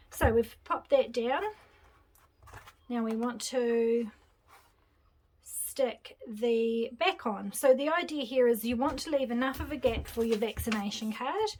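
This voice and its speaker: A woman's voice: on the high side, slight background noise. A woman hosting a casual craft tutorial, chatting and thinking aloud as she glues and assembles at her table. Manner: chatty, relaxed, thinking aloud while working. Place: craft table indoors, hands seen from overhead camera.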